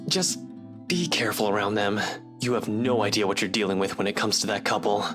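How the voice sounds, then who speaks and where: An actor playing a guarded, serious and terse investigator in a visual novel. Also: male voice, lowish voice, fairly even intonation, a little fast, natural voice. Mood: guarded warning. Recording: game audio over guitar music.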